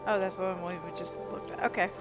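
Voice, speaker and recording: A woman's voice: fairly low pitch, steady tone, fast talker. A woman giving casual gameplay commentary as she plays through a video game. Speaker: let's play gamer. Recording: game music behind voice.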